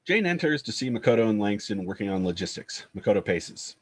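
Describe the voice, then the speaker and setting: A man's voice: lowish voice, very animated, a little fast. A man narrating screenplay stage directions at a table read.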